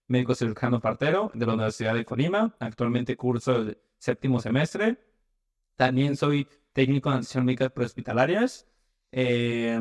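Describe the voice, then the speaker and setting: A young Mexican man in his twenties gives conversational interview answers. His voice sits in a middle pitch and he talks in an informal, everyday way. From Mexico City, Mexico. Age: 20s. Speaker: interview guest, medical student and paramedic.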